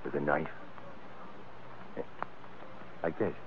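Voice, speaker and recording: A man's voice: rumbling low, flat delivery, fast, breathy edge, heavily acted. An actor playing a boastful, greedy gangster hitman in a matter-of-fact way in a 1940s radio crime drama. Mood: coolly menacing. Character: cocky male hired killer, casually greedy gangster. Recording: muffled narrow-band 1940s radio recording, light noise.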